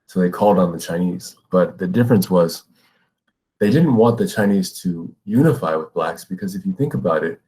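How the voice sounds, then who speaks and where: Male educator, American, deep register, explains in lecture style with frequent check-ins to the listener. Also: moderate pace, mellow. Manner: explanatory, often checks with "right?". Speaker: middle school ethnic studies teacher. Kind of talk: educational lecture with read-aloud passages.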